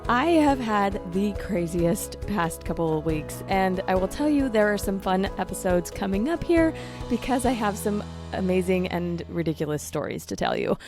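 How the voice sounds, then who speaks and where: A woman's voice: mid-range voice, lively intonation, moderate pace, over music. A woman hosting a faith-based coaching podcast, her voice still recovering from lost voice, speaking earnestly and encouragingly. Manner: earnest, warm encouragement with soft laughs. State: voice recovering from laryngitis. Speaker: podcast host, life coach.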